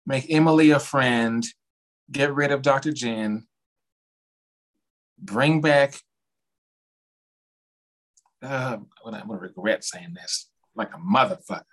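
Male co-host, mid-pitched, blunt and sassy, loose profane gossip among friends. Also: slow, long pauses, a bit bright. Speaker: podcast co-host. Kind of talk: casual gossipy chat about reality television.

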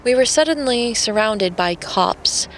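A woman's voice: a little high, expressive, a little slow, background noise. An actress playing a young woman artist, telling her adventure as first-person narration in an audio drama. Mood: tense recounting.

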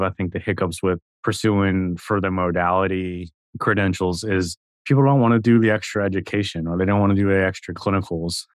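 A man's voice: low-pitched, clean tone, no echo. A man in his thirties, a guest radiologist assistant, making long earnest points in a podcast conversation. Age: 30s.

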